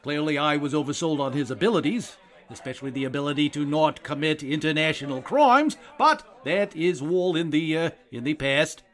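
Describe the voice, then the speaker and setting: A smug, self-serving government secretary in an audio comedy. He speaks in a slightly high male voice, pompously, stumbling over hesitations as he goes. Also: lively intonation, moderate pace, fairly bright tone. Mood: veiled threat. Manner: hesitant uh-peppered, smug politician's drawl. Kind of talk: audio comedy drama acting.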